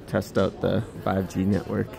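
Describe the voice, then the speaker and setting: A man's voice: deep voice, level tone, unhurried, vocal fry, music in the background. A man, chatting casually as he walks a department store for a phone-filmed tour. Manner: musing, chatty remarks to himself. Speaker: vlogger giving a store walking tour. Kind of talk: casual walking-tour commentary. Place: large indoor department store. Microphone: handheld phone camera while walking.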